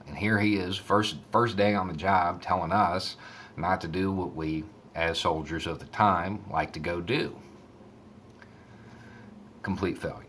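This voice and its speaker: A man giving casual, conversational commentary and storytelling in a measured way. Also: low-pitched, fairly bright tone, slight background noise. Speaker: online commentator.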